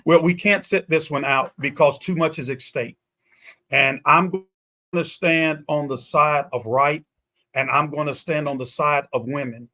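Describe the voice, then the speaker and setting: High-pitched, emphatic preaching man. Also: steady tone, unhurried, sharp tone. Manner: emphatic, uses repetition. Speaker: guest pastor and teacher. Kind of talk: impassioned talk-show discussion with preaching cadence.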